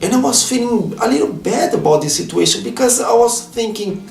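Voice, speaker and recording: A man's voice: very high voice, animated, brisk, gravelly, a little mellow, reverberant. Brazilian-accented man, earnest vlog talk. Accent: Brazilian Portuguese. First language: Portuguese. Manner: earnest and heartfelt, slightly non-native phrasing. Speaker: vlogger. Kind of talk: personal vlog monologue.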